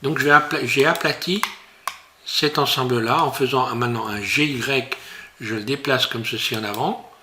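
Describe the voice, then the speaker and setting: A man teaching a software tutorial while he works on screen, talking in a hesitant, casual way full of fillers and restarts. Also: medium pitch, noisy background. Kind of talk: screen-recorded tutorial narration.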